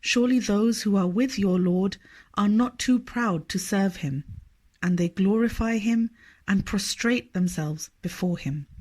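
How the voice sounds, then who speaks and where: A woman reading a scripted scripture study aloud in a measured, formal manner. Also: mid-range voice, darkish tone. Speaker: reader of a religious study text.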